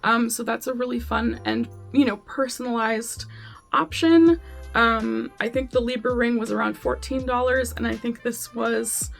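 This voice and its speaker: A woman's voice: fairly high pitch, fairly even intonation, moderate pace, vocal fry, faint music behind. A young woman chatting casually in an upbeat vlog, full of ums and likes. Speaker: vlogger. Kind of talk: casual gift-guide vlog.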